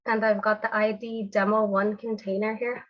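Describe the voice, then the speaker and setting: A woman with a congested cold voice, explaining a software technique step by step in a screen-share tutorial over a video call. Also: mid-range voice, flat delivery, moderate pace, highs cut off. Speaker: developer demonstrating a technique.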